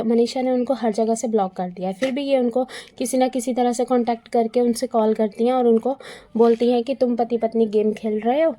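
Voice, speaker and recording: A woman talks like a video commentator going over gossip. Her voice sits a little high but has a mellow, relaxed tone. She is conversational and opinionated, often repeating phrases to press her point. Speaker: YouTube commentator. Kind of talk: casual gossip commentary, retelling events.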